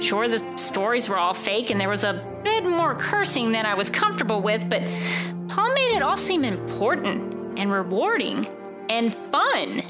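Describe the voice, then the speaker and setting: Woman as an earnest, higher-voiced news producer. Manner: earnest, reflective. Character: earnest, wistful female news producer recording confession. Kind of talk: scripted dramatic monologue. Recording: music under the voice.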